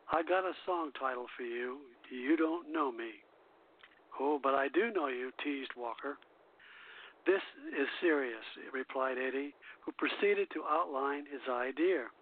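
Higher-voiced man on a phone-like line. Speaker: radio show host. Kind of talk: reading prepared song history notes. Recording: thin, phone-like narrow-band audio.

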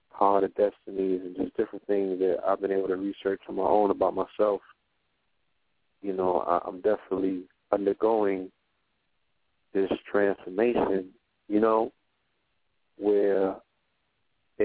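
Low monotone guy on a radio roundtable, sounds like a phone line, keeps checking you're following. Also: no room sound. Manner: reflective, repeats "you know what I'm saying". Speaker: radio show guest. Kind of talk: casual roundtable talk.

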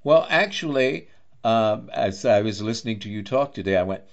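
A man hosting a conversational interview in an effusive, halting manner, often repeating his phrases. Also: fairly low pitch, animated, vocal fry. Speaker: interviewer, host; actor and director. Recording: dull lo-fi online-call sound.

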